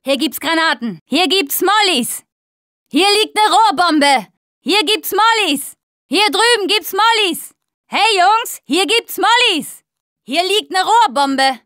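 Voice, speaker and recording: A tough young woman fighting through a zombie video game. Her voice is high and swings widely in pitch. She speaks in short, slangy, unhurried bursts, expressive and casual. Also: dry recording, clean studio sound, heavily acted. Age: young adult.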